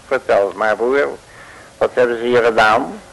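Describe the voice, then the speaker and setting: An actor playing a boastful, bumbling male detective, blustering and shouting in a 1950s radio play. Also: medium pitch, normal speed, vocal fry, low-quality recording. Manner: blustering, with made-up curses and sudden shouts.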